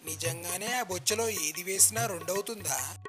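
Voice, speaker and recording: Woman voicing a gruff, short-tempered village priest. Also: on the low side, moderate pace, over loud music, voice pushed down, close to an everyday voice. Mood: greedy, scheming. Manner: gruff, scolding, dismissive. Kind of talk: moral storybook character acting.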